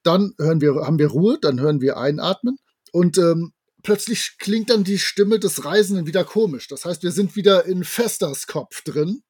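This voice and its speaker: High-pitched, husky man chatting. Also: expressive, moderate pace, a little mellow. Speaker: podcast co-host. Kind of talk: casual chat retelling and reviewing an audio drama.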